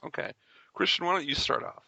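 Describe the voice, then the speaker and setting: A man's voice: fairly high pitch, animated, quick pace, vocal fry, bright, forceful tone, husky, no echo, low-quality recording. A man hosting an upbeat fan podcast in a jokey, enthusiastic way. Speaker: podcast host.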